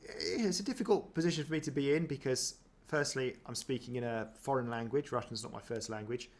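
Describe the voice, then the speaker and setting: A man's voice: mid-range voice, a little fast. A man chatting casually as he reacts to footage of himself, self-deprecating, with many ums and restarts. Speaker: running vlogger.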